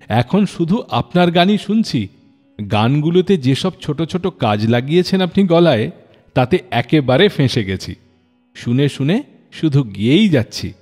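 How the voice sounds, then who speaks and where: A male radio host narrates in a storyteller's dramatic, scripted style. His pitch moves in lively swings through a middle register. The voice rings with long hall reverb over a faint bed of music. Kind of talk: scripted storytelling radio narration about classic film songs.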